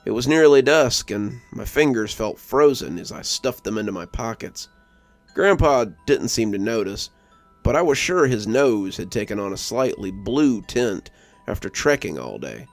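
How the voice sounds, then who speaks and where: Creaky-voiced man narrating a spooky story. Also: fairly low pitch, lively intonation, moderate pace, dry recording, faint music behind. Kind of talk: dramatic scripted narration.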